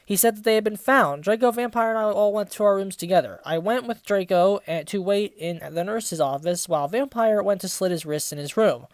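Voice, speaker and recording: Sarcastic young reader doing dramatic read-aloud, swears in the asides, medium pitch, dry sound. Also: brisk, few pauses, no room sound. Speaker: reviewer reading fan fiction aloud. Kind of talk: dramatic read-aloud with mocking casual commentary.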